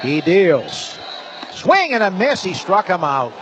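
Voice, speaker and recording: Male baseball play-by-play announcer, higher-pitched, clipped descriptive delivery, noisy live stadium broadcast. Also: sing-song, slow, a crowd in the background. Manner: clipped, descriptive short phrases. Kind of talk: live radio play-by-play commentary. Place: ballpark broadcast booth. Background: clear stadium ambience.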